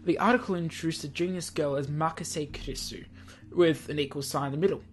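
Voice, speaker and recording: A man's voice: on the high side, fairly quick. A man hosting a let's play, reading a visual novel aloud theatrically and adding casual, offhand asides. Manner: theatrical read-aloud mixed with offhand commentary. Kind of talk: game narration with casual asides.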